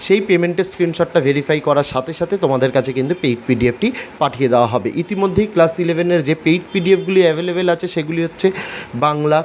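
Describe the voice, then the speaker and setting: Fast-talking, higher-pitched Bengali man teaching online. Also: slight background noise. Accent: Bengali from West Bengal, India. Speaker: online class teacher and channel host. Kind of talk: explanatory tutorial narration addressed to students.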